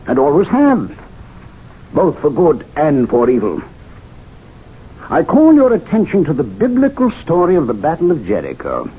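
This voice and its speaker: An actor playing a learned club member who earnestly lectures his fellow members in a radio drama, recorded as a tinny old broadcast with faint hiss. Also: medium pitch. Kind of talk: 1970s radio drama acting.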